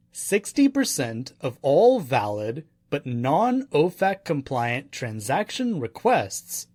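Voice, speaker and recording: A man narrating a nonfiction audiobook in a measured, deliberate, formal reading style. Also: medium pitch, darkish tone, dry recording, studio quality.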